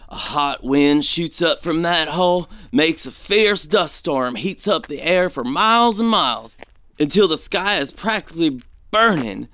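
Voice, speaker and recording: An actor playing an uneasy, superstitious male gold prospector in an audio drama, speaking in a folksy, hesitant, excitable way. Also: fairly high pitch, smooth, no room sound.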